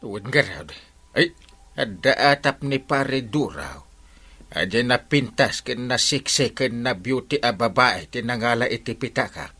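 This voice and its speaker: A man's voice: medium pitch, lively intonation, unhurried, sharp tone, husky, heavily acted. A man acting a village character in an Ilocano-accented comedy radio drama. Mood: excited storytelling.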